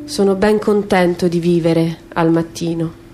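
A woman giving a theatrical literary recitation in a measured, declamatory way with dramatic pauses. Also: on the low side, steady tone. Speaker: stage reader and performer. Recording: music under the voice. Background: instrumental music.

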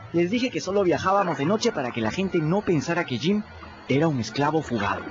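An actor playing a lively runaway boy who tells his river adventures colloquially in a radio drama. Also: a little high, a little fast, highs cut off, a little hiss or hum. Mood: storytelling. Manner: colloquial, animated storytelling. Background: music.

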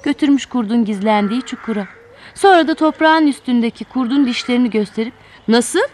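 A woman telling a children's fairy tale in expressive, scripted narration. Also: on the high side, fast, slight background noise. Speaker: children's storyteller.

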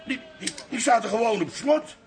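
Old publican, high-pitched, folksy, proud, easily spooked, lively swings, radio comedy. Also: male voice, moderate pace. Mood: alarmed, puzzled. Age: older. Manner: folksy, excitable. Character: jovial old Amsterdam barkeeper father, proud and easily spooked. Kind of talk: Dutch radio comedy drama acting.

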